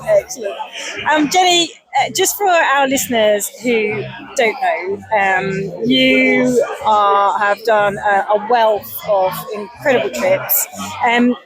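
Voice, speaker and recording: A woman's voice: medium pitch, slow, faint music behind, low-quality recording. A woman co-hosting a podcast interview, enthusiastic and full of hesitant fillers. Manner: enthusiastic with many fillers and restarts. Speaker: podcast co-host. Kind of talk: casual interview chat. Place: festival marquee.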